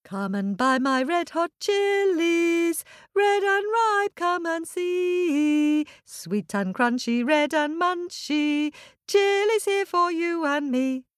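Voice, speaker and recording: A woman's voice: extremely high pitch, animated, unhurried, fairly bright tone, no room sound, studio quality, pitched above the performer's own voice, full character voice. A woman voicing an earnest, tearful little boy from a children's story, with pleading, singsong calls. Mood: cheerful, singsong.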